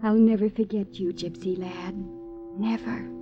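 An actress playing a lovestruck, devoted woman in a 1950s radio drama, with a breathy, dreamy, melodramatic delivery. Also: medium pitch, steady tone, a little slow, muffled recording, music in the background, close to an everyday voice. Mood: pleading, tender.

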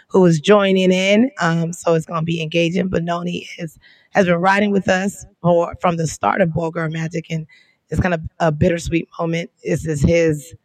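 A woman co-hosting a podcast in casual chat, hoarse and losing her voice, telling stories in lively slang. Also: lowish voice, no echo, poor audio. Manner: slangy, animated storytelling. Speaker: podcast co-host.

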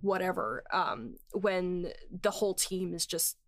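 A woman hosting a podcast, chatting casually and enthusiastically about making a show, quick to laugh. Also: lowish voice, lively intonation, no echo, studio quality. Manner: effusive, enthusiastic, prone to laughing. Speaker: podcast host and series writer.

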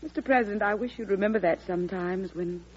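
An American woman acting a pert, loyal, gently teasing presidential secretary in a 1940s radio drama. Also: medium pitch, fast, lightly acted. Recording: noisy, muffled old radio recording.

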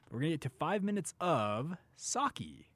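Higher-voiced man hosting sports radio. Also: sing-song, normal speed, fairly bright tone, no room sound. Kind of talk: casual sports talk show hosting.

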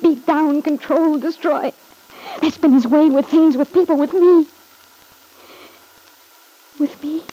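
Bitter high-voiced runaway daughter. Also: female voice, fairly even intonation, slow, long pauses, mellow. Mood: bitter, aggrieved. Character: bitter, unstable runaway daughter, taunting her father. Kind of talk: classic radio soap opera acting. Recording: noisy old radio drama recording.

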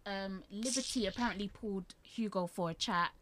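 A young woman chats steadily, laying out her point with plenty of detail. Her voice sits at a middle pitch and has an easy, conversational flow. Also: normal speed, a bit bright. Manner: chatty, explanatory. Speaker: podcast co-host. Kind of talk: casual chat.

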